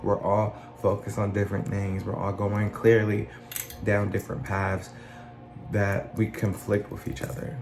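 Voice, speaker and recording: A man talking in a casual, earnest monologue, his voice still rough from being sick, often circling back and restarting his phrases. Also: lowish voice, monotone, a little slow, reverberant, music in the background. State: recovering from illness, voice still rough. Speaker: channel host.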